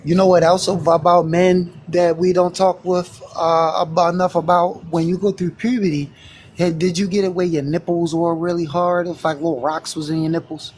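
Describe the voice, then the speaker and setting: A man in his late thirties with a somewhat high, squeaky voice, telling joking stories in a loose, profane way. A faint hiss of noise sits behind him. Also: level tone, normal speed, darkish tone. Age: late 30s. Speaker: podcast co-host. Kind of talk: casual chat.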